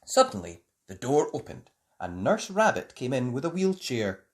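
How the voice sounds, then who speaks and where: A storyteller reading a children's picture book aloud in an expressive, deliberate way for young listeners. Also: mid-range voice.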